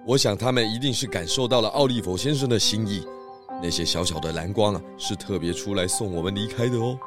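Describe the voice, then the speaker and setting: An actor voicing a genial, food-loving pig detective with a measured, gentle manner in a children's audio drama. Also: male voice, lowish voice, over music, lightly acted. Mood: reassuring.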